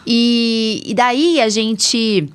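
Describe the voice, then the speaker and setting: A woman's voice: fairly high pitch, very slow, clear, resonant tone. A middle-aged woman hosts a podcast in a chatty, informal way, speaking directly to her audience. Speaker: podcast host, allergist doctor. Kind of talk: casual podcast hosting.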